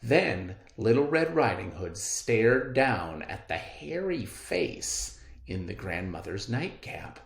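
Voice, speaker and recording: Very animated man reading a children's story. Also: on the low side, slow, gravelly. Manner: theatrical, emphatic phrasing. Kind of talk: animated children's read-aloud. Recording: roomy, echoey indoor recording.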